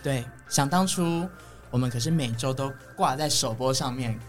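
A man's voice: medium pitch, normal speed, soft background music. A man with a Taiwanese accent co-hosting a casual podcast in a teasing, bossy way. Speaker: podcast co-host. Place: recording studio.

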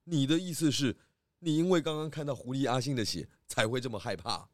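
A man voicing a calm pig detective chief who questions suspects in a children's audio drama. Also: mid-range voice, fairly quick, no echo, studio quality, natural voice. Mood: calm questioning.

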